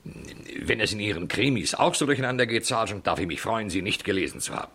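An actor playing a dry, sarcastic chief inspector in a radio crime play, questioning a subordinate with exasperated emphasis in a husky voice. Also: male voice, fairly low pitch, lively intonation, a little fast, sharp tone, exaggerated voice. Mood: sceptical, dry. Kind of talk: German radio play acting.

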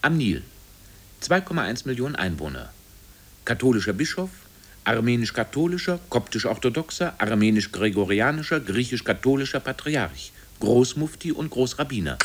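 A man in the role of a 1950s radio announcer recites facts like an encyclopedia. His voice is husky and mid-range, clipped and official, yet lively in intonation. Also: medium pitch, moderate pace. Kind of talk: 1950s scripted factual recitation.

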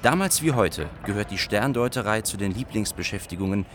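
A man playing a storyteller who recounts legends and history in an epic, measured radio drama narration. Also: fairly low pitch, lively intonation, music in the background, close to an everyday voice. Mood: solemn storytelling.

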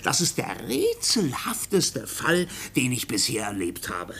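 An actor playing an officious police sergeant in a radio play, giving halting but emphatic pronouncements. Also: male voice, medium pitch, wildly swooping pitch, vocal fry, a bit bright, slight background noise, full character voice. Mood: pondering, weighty. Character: brisk, officious police sergeant, puzzled by his case. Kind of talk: German radio play acting.

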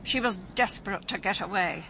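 An older English gentlewoman in a radio drama, with a medium-pitched voice. She speaks with weary, genteel restraint, dignified and a little defensive. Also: brisk, gravelly, a little hiss or hum, rough recording. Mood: resigned.

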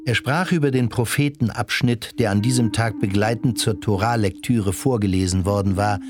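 A man's voice: on the low side, normal speed, lightly acted. A man playing the composed narrator of a radio play, reading scripted narration. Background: music.

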